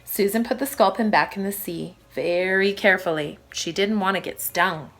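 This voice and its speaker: A woman reading a children's story aloud like a primary teacher, with expressive, emphatic phrasing for young listeners. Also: medium pitch, moderate pace, soft background music.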